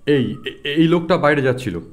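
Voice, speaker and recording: A man playing a dutiful, hurried police assistant reporting in an audio detective drama. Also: a little high, animated, close to an everyday voice. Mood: dutiful, urgent.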